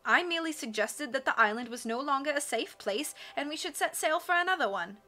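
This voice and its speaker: Woman acting calm, evasive old island leader. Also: high voice, fairly quick, pitched above the performer's own voice.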